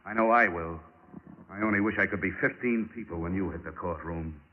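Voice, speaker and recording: A man's voice: deep voice, fairly quick, a little hiss or hum, close to an everyday voice. An actor playing a tough, wisecracking insurance investigator who needles his suspects and narrates his own case, in a 1940s radio drama on a dull old broadcast recording. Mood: taunting. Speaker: narrator and lead.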